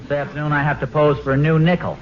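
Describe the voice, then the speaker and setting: A man's voice: a little high, level tone, fast, natural voice. An actor playing a playful, put-upon American suburban father in a 1940s radio comedy, with a hesitant, wry manner. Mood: wry, weary. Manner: hesitant, wry, excuse-making. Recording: muffled, noisy old radio recording.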